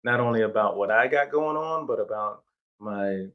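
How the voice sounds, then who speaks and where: A man's voice: fairly low pitch, moderate pace, dull audio. A middle-aged man from Jamaica, raised in New York, telling stories as a guest in a casual interview, enthusiastic and rambling. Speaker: playwright, guest.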